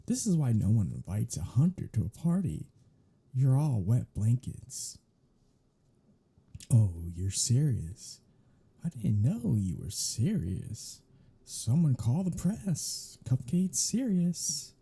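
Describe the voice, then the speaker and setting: A man playing a sardonic, teasing vampire in an intimate ASMR roleplay, with a husky, breathy voice and a sarcastic, mocking drawl. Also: mid-range voice, very animated, takes it slowly, mellow, full character voice. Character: sardonic, teasing male vampire, grumbling and irreverent.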